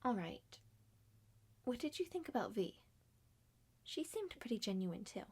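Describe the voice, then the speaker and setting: Woman putting on a dry, slow-talking young male detective. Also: a little high, very animated, slightly rough, heavily acted. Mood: dry, measured. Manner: dry, deliberate, matter-of-fact. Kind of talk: amateur roleplay audio drama.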